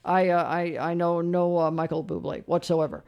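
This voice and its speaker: A radio host runs a call-in show, chatty and upbeat. The voice sits in a middle pitch and keeps tossing out quick affirmations. It moves briskly between callers. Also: darkish tone, smooth.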